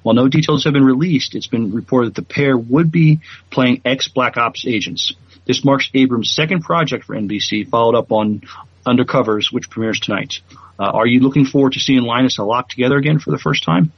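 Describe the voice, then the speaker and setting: A man's voice: medium pitch, brisk, slightly rough. A man co-hosting a podcast in casual chat and reading news, hesitant and full of fillers. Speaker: podcast co-host. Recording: dry, dull lo-fi mic.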